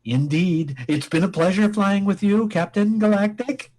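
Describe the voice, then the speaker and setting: A man's voice: high voice, normal speed, darkish tone, close to an everyday voice. A man playing a pompous, melodramatic space fleet admiral in a comic radio play, formal and theatrical. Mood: grimly noble.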